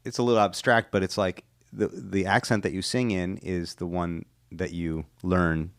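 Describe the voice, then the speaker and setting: A man's voice: low voice, little pitch movement, normal speed, clear, resonant tone, no echo, clean studio sound. A man hosting an interview, asking thoughtful questions in an agreeable way and often saying yeah along the way. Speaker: interview host.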